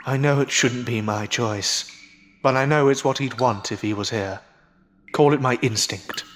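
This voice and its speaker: A man's voice: medium pitch, normal speed, a little hiss or hum, close to an everyday voice. A man playing a loyal, grieving hero in an audio horror drama, with an earnest, solemn and dramatic delivery. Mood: grave resolve. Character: grieving, self-sacrificing, loyal man defying a monster. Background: music.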